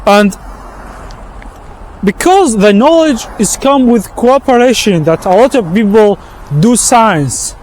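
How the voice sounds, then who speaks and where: A man with an Arabic accent, whose first language is Arabic, giving a walking vlog monologue outdoors in a halting way, often restarting and repeating words. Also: high voice, expressive, darkish tone, slight background noise. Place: outdoors on a street with passing traffic.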